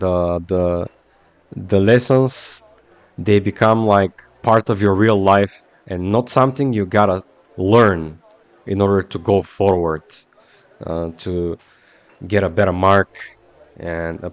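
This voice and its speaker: A man from Bulgaria with a Bulgarian accent chats casually while he streams a game. He talks slowly and with plenty of hesitation and fillers, in a fairly low voice. The sound is dry and close. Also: steady tone, no echo. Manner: hesitant and rambling, full of fillers.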